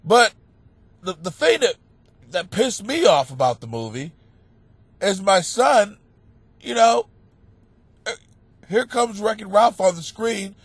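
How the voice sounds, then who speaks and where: A man's voice: sits high, slow, long pauses, slightly rough, dry recording. Stuffed-up man ranting with big swings. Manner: animated, swearing, bursts into shouting. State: stuffed-up nose. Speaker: stand-up comic and podcast host. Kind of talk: profane comedic rant monologue.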